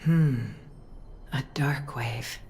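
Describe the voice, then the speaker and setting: A woman voicing a gentle, loving mother and priestess in a video game, speaking in a tender, soothing, measured way. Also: very deep voice, heavy background noise, exaggerated voice. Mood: grave.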